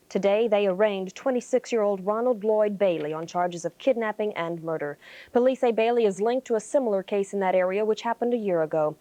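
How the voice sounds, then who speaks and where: A woman's voice: medium pitch, darkish tone, no room sound. A woman co-anchoring a television newscast, reading scripted news in a studio.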